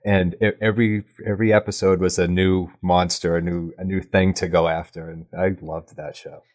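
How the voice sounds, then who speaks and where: Middle-aged man, low register, casual conversational podcast guest. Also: little pitch movement, moderate pace, a little mellow. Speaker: podcast guest, audio drama writer. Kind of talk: casual chat.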